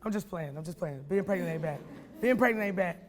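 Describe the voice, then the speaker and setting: A woman's voice: on the low side, lively intonation, quick pace. A woman from Oakland, a jazz artist talking in a casual interview, blunt and playful, full of slang. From Oakland, California.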